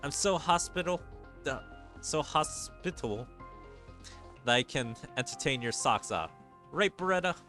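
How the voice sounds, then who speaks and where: A man's voice: on the high side, animated, measured pace, long pauses, sharp tone, faint music behind, pitched above the performer's own voice. A man voicing a proud, boastful little demon lord girl from an anime game.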